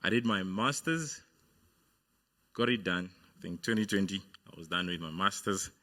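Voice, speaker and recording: A man's voice: low-pitched, animated, moderate pace, sharp tone. A young man from Uganda giving a hesitant on-stage talk about his studies, with many fillers and restarts. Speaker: guest speaker, business founder. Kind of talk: motivational speech. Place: on stage at a university event.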